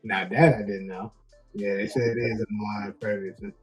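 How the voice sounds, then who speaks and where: A man co-hosting a casual TV show discussion, relaxed and quick to laugh, reading out viewer comments. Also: fairly low pitch, low-quality recording. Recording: faint music behind.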